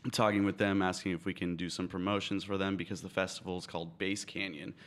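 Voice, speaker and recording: Low-voiced laid-back guy. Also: level tone, fast talker, fairly bright tone. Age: 30s. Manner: laid-back, loose, laughs easily. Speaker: guest. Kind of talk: casual on-air interview.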